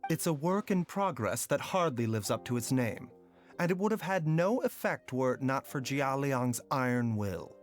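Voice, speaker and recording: An actor voicing a calm, enigmatic doctor in a video game, speaking in a polite, formal, measured way. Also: male voice, mid-range voice, faint music behind, lightly acted.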